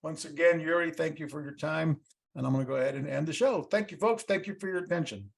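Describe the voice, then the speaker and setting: Man, podcast host, fairly high voice, gravelly, upbeat, rambling. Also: quick pace. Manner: upbeat, rambling sign-off with repeated calls to like and subscribe. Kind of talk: casual interview chat and sign-off.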